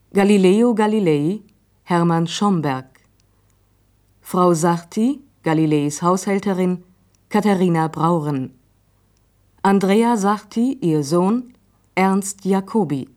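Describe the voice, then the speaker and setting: A woman reading a formal scripted cast announcement as a radio announcer, measured and neutral. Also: on the low side, darkish tone.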